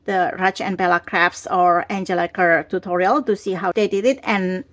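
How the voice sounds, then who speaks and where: A woman's voice: fairly low pitch, fairly even intonation, moderate pace, a bit bright. A woman hosting a craft video, speaking casually at her tabletop and filmed from an overhead camera. She is getting over a cold and has a lingering cough. She talks in a chatty, rambling way with the odd restart. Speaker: craft channel host. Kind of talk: casual craft tutorial talk.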